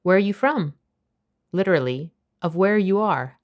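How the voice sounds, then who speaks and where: A woman narrating a scripted language lesson, explaining phrases clearly and at a measured pace. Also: medium pitch, expressive. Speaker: language teacher.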